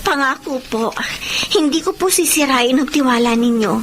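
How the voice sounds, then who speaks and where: Woman doing a shy young runaway girl, super high voice, stammery n polite, radio drama, noisy background. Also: lively intonation, moderate pace, fairly bright tone. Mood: grateful, earnest. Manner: hesitant, stammering, very polite and deferential. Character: timid 15-year-old runaway girl, humble and grateful. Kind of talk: Tagalog radio-style drama acting.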